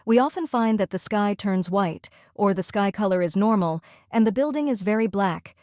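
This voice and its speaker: A woman's voice: mid-range voice, darkish tone, no room sound, clean studio sound. A woman student reading out a scripted explainer presentation step by step.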